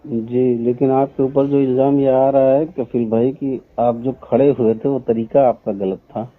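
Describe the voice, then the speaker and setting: A man on a phone call, calmly asking questions and mostly giving short acknowledgments. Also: mid-range voice, unhurried, no room sound. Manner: calm, mostly brief acknowledgments. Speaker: caller asking about an incident. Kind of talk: phone conversation. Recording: tinny phone call recording.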